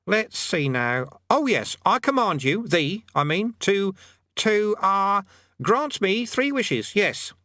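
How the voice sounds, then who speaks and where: A man voicing a scrawny, excitable male demonologist who commands in stammering, pompous archaic words. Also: high-pitched, takes it slowly, no echo, studio quality, voice pushed up, heavily acted. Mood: excited, pompous. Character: scrawny excitable middle-aged male demonologist, mad and pleading. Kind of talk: audiobook character voice.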